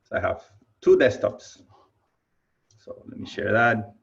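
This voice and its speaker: A man's voice: lowish voice, expressive, measured pace, creaky. Cuban-accented man on a muffled video call. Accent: Cuban Spanish. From Cuba. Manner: enthusiastic, friendly, addresses audience as "you guys". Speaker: keynote speaker. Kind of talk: virtual presentation talk.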